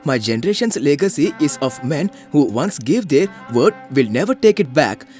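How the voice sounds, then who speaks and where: A man's voice: a little high, sing-song, normal speed, darkish tone, soft background music. An actor playing a polite, honourable young man in a moral story, speaking respectfully and earnestly. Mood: pleading. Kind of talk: animated storybook acting.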